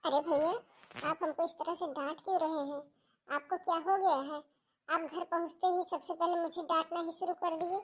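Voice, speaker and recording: A very high, young schoolgirl voice, acted by a woman for a cartoon melodrama. She is earnest and plaintive, sounding close to tears as she pleads. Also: steady tone, normal speed, a little hiss or hum, poor audio. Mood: hurt. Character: tearful young schoolgirl pleading to keep studying. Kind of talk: Hindi cartoon family melodrama acting.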